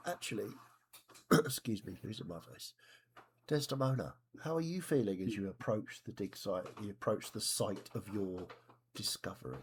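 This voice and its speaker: A man's voice: lowish voice, a little hiss or hum. A man narrating as game master of a tabletop game, describing scenes and asking players what they do. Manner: descriptive, measured, with asides. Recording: voice chat, live stream.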